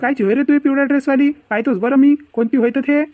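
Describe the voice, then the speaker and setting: Woman voicing a fast-talking, excitable village youth. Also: high voice, narrow, tinny audio, faint music behind, close to an everyday voice. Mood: curious, explaining. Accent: Varhadi Marathi. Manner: evasive, blustering. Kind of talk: cartoon comedy acting.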